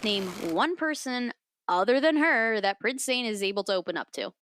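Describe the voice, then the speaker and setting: An actress playing an outspoken, playful young woman in a fantasy audio drama, with a teasing, expressive, informal manner. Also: a little high, moderate pace, a little hiss or hum. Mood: curious, gossipy.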